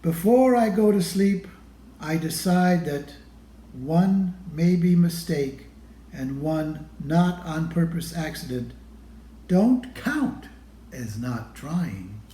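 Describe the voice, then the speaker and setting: Guy reading a kids book out loud, takes his time, gentle mellow tone, roomy echo. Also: a little high, lively intonation, takes it slowly. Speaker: storybook reader. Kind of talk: expressive children's picture book read-aloud.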